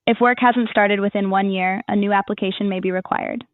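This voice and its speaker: A woman's voice: mid-range voice, fairly even intonation, fairly quick, no room sound, phone-quality sound. A woman's voice as an AI assistant, giving scripted, formal informational answers in a customer-service manner.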